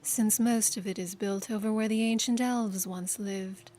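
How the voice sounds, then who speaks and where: A woman's voice: a little high, animated, a little slow, darkish tone, faint music behind. Woman reads disapproving sorceress; haughty, sneering, sniffs at everyone. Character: pale, disapproving female death mage.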